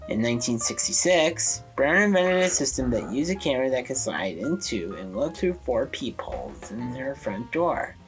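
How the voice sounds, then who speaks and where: A woman's voice: very deep voice, lively intonation, normal speed, few pauses, faint music behind, poor audio. A woman presenting a scripted history segment for a podcast.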